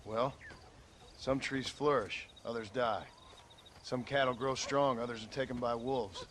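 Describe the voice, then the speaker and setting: An actor playing a weary, gruff former outlaw turned rancher in a video game, terse and dry, with a rural American Western accent. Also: male voice, mid-range voice, fairly even intonation, measured pace, a bit bright, a little hiss or hum, lightly acted. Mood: weary, sober. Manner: terse, dry, plain-spoken. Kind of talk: dramatic video game acting.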